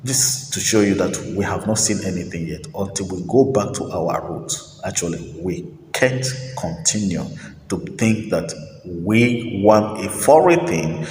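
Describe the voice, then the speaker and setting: A man's voice: low voice, lively intonation, unhurried, a little mellow, a little hiss or hum. Man preaching in an echoey room. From Nigeria. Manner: preachy, emphatic, repeats phrases, many fillers. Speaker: host. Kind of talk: opinionated monologue. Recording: roomy echo with long reverb, faint ambience.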